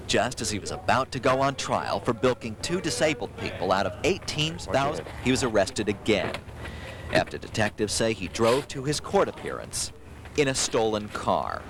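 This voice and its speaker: A man narrating a scripted television news report as a reporter. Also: fairly low pitch. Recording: clearly noisy broadcast tape.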